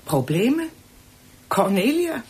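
A woman's voice: a little high, very animated, slow pace, darkish tone, husky, full character voice. A woman playing a skeptical, bitter character with a questioning manner in a radio drama. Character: skeptical, bitter adult woman with fear of cellars.

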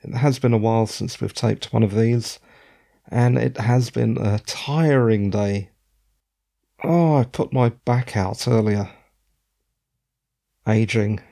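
A middle-aged man hosting a podcast with a hoarse, croaky voice, chatting in a digressive, self-deprecating way. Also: mid-range voice, dark tone. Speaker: podcast host. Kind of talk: casual podcast commentary.

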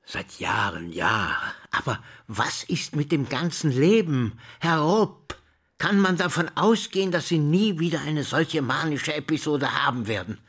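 A man's voice: a little high, lively intonation, a little slow, fairly bright tone, husky, full character voice. An actor playing a troubled older school director in a drama, stern, insistent and formal as he questions. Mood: reproachful. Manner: insistent, interrogating, formal address. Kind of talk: German stage-style radio play dialogue.

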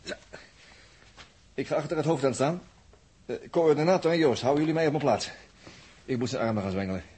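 An actor playing a tense, commanding ship's medic giving short clipped instructions in a 1960s radio drama. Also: male voice, medium pitch, brisk, pauses often, darkish tone, husky, lightly acted. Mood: tense, urgent. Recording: noisy old radio recording.

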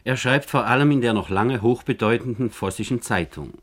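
A man giving scripted documentary narration for radio, declarative and deliberate in his phrasing. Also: mid-range voice.

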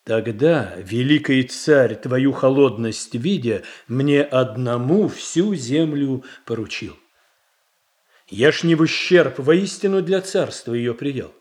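An actor playing a measured, self-assured statesman in a verse radio play, speaking in a deliberate, formal, declamatory way. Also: mid-range voice, lively intonation, a little mellow. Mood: firm, reasoning. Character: measured, self-assured statesman boyar defending his reforms.

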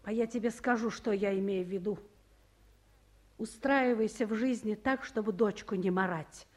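A woman's voice: medium pitch, normal speed, a little mellow, natural voice. An actress playing a busy woman official, motherly yet commanding, in a 1950s radio play. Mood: firm, reproachful. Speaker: official in charge.

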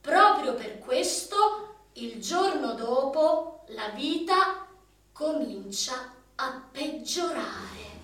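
A young actress plays a jobless, overqualified young woman in a radio drama monologue, moving from brisk reciting to hushed, ironic storytelling. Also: high voice, animated, heavily acted. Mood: wistful. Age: young adult. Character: young jobless overqualified female graduate, wry dreamer. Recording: roomy echo, distant mic, music underneath.

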